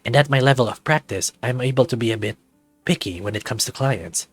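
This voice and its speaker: A man's voice: medium pitch, brisk, dry recording, faint music behind, natural voice. A man voicing a proud, self-assured dragon lawyer in a visual novel game, in a composed manner. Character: suave, proud male dragon lawyer in a suit.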